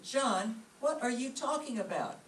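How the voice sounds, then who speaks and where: A woman's voice: fairly high pitch, expressive, measured pace. A woman playing a nagging, exasperated wife in an old-time radio comedy staged live. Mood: exasperated, scolding. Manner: scolding, exasperated. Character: nagging, put-upon wife of a bickering couple. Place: live stage performance before an audience. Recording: roomy, distant mic, faint noise.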